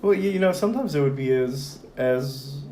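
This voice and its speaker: Hoarse young guy, rambling guest on a casual chat show, some light hiss in the background. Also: medium pitch, normal speed. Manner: relaxed and rambling, many fillers. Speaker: podcast guest. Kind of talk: casual conversation.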